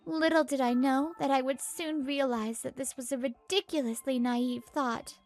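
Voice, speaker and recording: A woman's voice: sits high, fairly even intonation, normal speed, soft background music. A woman voicing an earnest pregnant wife who narrates a manga dub, fed up but hopeful. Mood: resolute.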